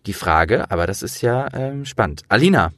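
A man's voice: on the low side, animated, moderate pace, a bit bright, no room sound, clean studio sound. A man chatting casually as an interviewer, with a trained voice actor's delivery. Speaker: interviewer and host.

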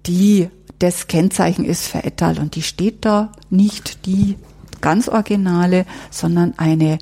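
Mellow woman explaining conversationally. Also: on the low side, fairly even intonation, a little slow, slightly rough. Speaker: exhibition curator and historian. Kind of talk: conversational interview, guiding through an exhibition.

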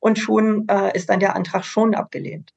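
Woman from an association on a panel, gravelly creaky voice, explains stuff earnestly. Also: mid-range voice, normal speed, darkish tone, highs cut off. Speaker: association representative. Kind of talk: panel discussion.

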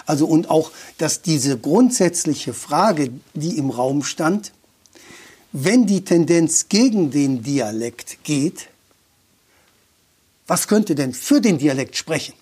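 A man's voice: a little high, lively intonation, slow pace, darkish tone, husky. A man talking as a guest about dialect, thoughtful and opinionated, often restarting his sentences. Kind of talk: conversational explanation.

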